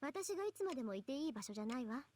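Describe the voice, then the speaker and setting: A woman voicing a sharp, haughty teenage schoolgirl rival in an anime-style game, with a brisk, scolding, teasing manner. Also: sits high, very animated. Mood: cool, brisk.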